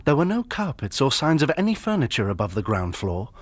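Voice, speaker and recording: Radio drama as young male engineer: British, well-spoken, inquisitive, slightly husky, animated delivery. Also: mid-range voice, brisk. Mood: uneasy recollection. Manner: polite, well-spoken, expressive. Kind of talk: radio drama acting and first-person narration.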